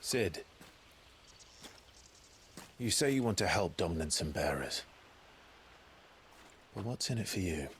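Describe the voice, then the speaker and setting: A man's voice: sits low, expressive, takes it slowly, long pauses, fairly bright tone, a little hiss or hum, heavily acted. An actor voicing a grim young male soldier set on vengeance, speaking in a terse, guarded way. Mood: wary.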